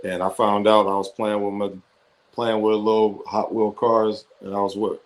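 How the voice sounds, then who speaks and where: Low-voiced reflective man from Ohio. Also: flat delivery, moderate pace. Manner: reflective, with frequent fillers and restarts. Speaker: guest football coach. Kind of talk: personal storytelling in an interview. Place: interview on a video call. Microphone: computer or headset microphone on a video call.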